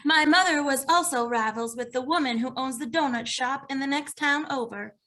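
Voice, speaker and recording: A woman reading an earnest, grieving woman client in an animated comedy mystery table read. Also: high-pitched, moderate pace.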